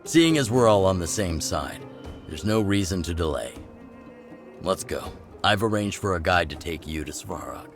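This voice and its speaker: Gruff underground chief, middle-aged, extremely low voice, measured and plain-spoken authority, video game dialogue over faint music. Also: male voice, animated. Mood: pragmatic, commanding. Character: gruff older male chief of underground resistance, measured, pragmatic.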